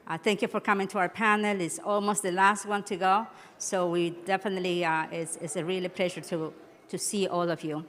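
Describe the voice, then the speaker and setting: A woman from Ecuador, a judge, giving a conference talk in a halting manner with many restarts. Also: lowish voice, little pitch movement. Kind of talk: conference speech, switching between English and Spanish. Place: large conference hall. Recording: reverberant conference hall.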